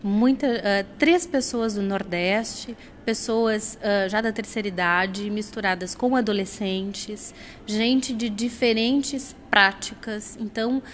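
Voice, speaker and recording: A woman teacher answering interview questions in a thoughtful way, pausing with frequent fillers. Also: medium pitch, a little hiss or hum. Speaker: teacher, group facilitator.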